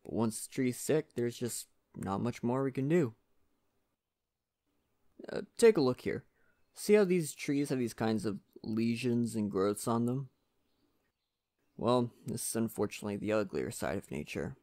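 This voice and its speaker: A performer voicing a friendly, poetic male lumberjack, chatty and gently courteous, in an ASMR roleplay addressed to the listener. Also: medium pitch, lively intonation, unhurried, pauses often. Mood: explaining, calm.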